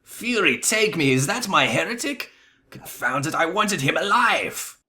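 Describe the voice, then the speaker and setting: A man voicing a gruff, formal, zealous inquisitor knight in a melodramatic reading of game dialogue. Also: fairly high pitch, big pitch swings, a little slow, pushed and bright, voice pushed up, heavily acted. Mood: indignant. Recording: music behind the voice.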